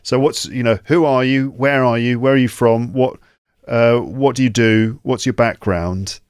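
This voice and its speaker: A man's voice: medium pitch, steady tone, a little slow, clear, resonant tone, no echo, clean studio sound. A man hosting a podcast, joking and rambling with fillers as he interviews a guest over a video call. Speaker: podcast host. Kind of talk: casual interview chat.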